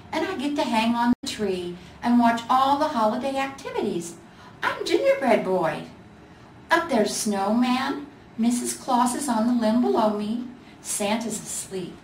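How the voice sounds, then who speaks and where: A woman voicing a chatty little gingerbread boy ornament, proud and knowing, explaining things matter-of-factly in a storybook reading. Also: fairly high pitch. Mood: proud, friendly. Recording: echoey room, distant mic, faint noise.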